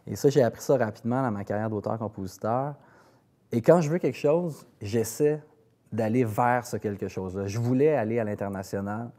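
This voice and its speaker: A man's voice: medium pitch, brisk, dark tone. A man with a Quebec French accent speaking casually in an interview as a singer-songwriter, often repeating words as he searches for phrasing.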